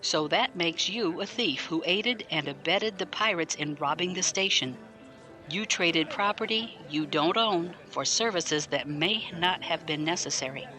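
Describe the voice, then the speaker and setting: A man's voice: a little high, animated, unhurried, bright, forceful tone, faint music behind. A man acting a probing, blunt military defense lawyer in a science fiction audio drama, pointed, articulate and wryly skeptical. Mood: stern, warning.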